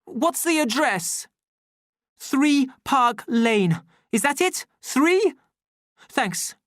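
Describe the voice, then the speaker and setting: A woman doing a furious shouting young man. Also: high-pitched, animated, drawn-out words, dry recording, clean studio sound, voice pushed up, full character voice. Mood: urgent.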